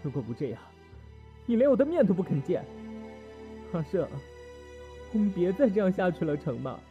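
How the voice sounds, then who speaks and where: Man acting a mellow-voiced, jealous ex-boyfriend. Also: high voice, sing-song, moderate pace, dull audio, faint music behind. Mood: pleading. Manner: emotional, accusing. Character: jealous, wounded ex-boyfriend, proud and accusing. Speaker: voice actor in an audio drama. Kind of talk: audio drama acting.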